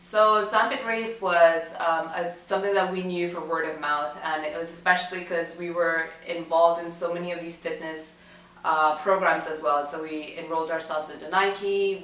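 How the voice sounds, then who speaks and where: A woman's voice: low voice, steady tone, normal speed, fairly bright tone, echoey room, recorded from a distance, poor audio. A young woman from Dubai, a student, chatting about an event she took part in, with many fillers and restarts. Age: young adult. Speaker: student interviewee. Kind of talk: casual recollection to camera.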